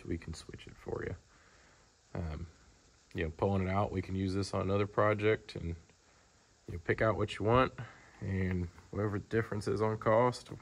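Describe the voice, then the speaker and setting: A man's voice: low voice, gravelly. A man presenting a vehicle as a car dealer, in a casual, off-the-cuff, matter-of-fact sales walkaround that rambles along informally. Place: large indoor car showroom. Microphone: handheld camera, speaker behind it.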